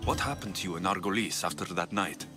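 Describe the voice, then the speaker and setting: Young Greek warrior, low voice, earnest, plain-spoken, game cutscene, music behind. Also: male voice, animated, fast talker. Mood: searching. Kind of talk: video game cutscene acting.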